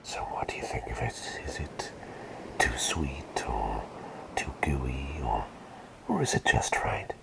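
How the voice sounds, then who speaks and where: Gentle plague doctor for ASMR roleplay male actor: breathy, gravelly whisper, soothing and courteous, with a swaying, varied melody. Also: very deep voice, expressive, slow, exaggerated voice, low-quality recording. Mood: kindly attentive. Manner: soothing, hesitant, politely formal.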